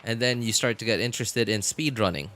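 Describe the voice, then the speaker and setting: A man chatting casually as he streams a game, rambling and halting as he thinks aloud. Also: on the low side, bright, forceful tone. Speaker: live streamer. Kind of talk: casual gaming stream chat.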